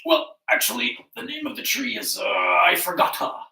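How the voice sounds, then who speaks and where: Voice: medium pitch, big pitch swings, normal speed, gravelly, sharp tone, reverberant, far from the mic, pitched below the performer's own voice, heavily acted. A performer voicing a vain, pompous male lion, the king of beasts, in a live folktale. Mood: bluffing. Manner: pompous, hesitant when unsure.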